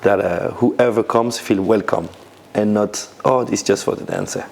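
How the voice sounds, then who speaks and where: Man, low voice, gravelly, rambling restarts, casual interview. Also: normal speed, a little hiss or hum. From Senegal, raised in France. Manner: loose, searching phrasing with many restarts. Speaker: dancer, DJ and event founder.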